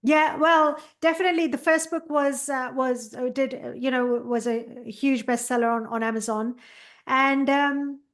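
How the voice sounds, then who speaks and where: Enthusiastic woman, slightly high voice. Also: steady tone, normal speed, a bit bright. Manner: enthusiastic, many fillers and restarts. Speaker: author and meditation coach, podcast guest. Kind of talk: interview chat.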